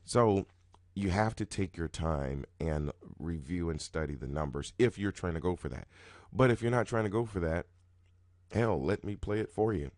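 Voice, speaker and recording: A man hosting a roulette strategy video, talking casually in a low, groggy morning voice, rambling with many restarts and sudden jumps in pitch and loudness. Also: expressive, normal speed, no echo, studio quality. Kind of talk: casual talking-head explanation.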